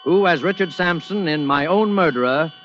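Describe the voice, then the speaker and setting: A man announcing formally and deliberately in 1940s radio style. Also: fairly high pitch, background noise. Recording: dull vintage radio recording.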